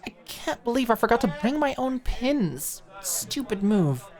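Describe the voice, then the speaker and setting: Woman voicing a high-pitched, flustered young fashion student. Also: very animated, a little slow, a little mellow. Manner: flustered, stammering, over-apologetic. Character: eager, anxious young fashion student, woman, hiding hurt.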